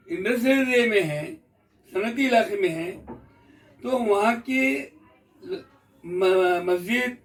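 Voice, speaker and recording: A man with an Indian accent giving an informal explanatory talk, methodical, repeating and rephrasing his points. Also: extremely high pitch. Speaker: speaker explaining court rules to the public. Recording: voice distant from the mic.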